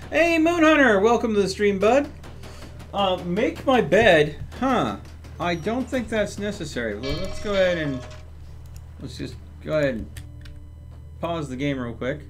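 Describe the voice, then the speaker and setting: A man's voice: a little high, very animated, normal speed, long pauses, faint music behind. A man hosting a livestream, casually talking through his game step by step and chatting with viewers. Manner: thinks aloud step by step.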